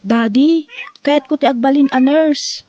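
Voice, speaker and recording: High, sweet young Filipino daughter. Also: female voice, a little slow, mellow, lo-fi sound. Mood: eager. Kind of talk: emotional Ilocano audio drama acting.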